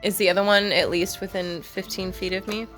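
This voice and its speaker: A woman's voice: mid-range voice, steady tone, a little fast, fairly bright tone. A woman playing a tabletop role-playing game, talking casually about her turn. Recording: background music under the voice.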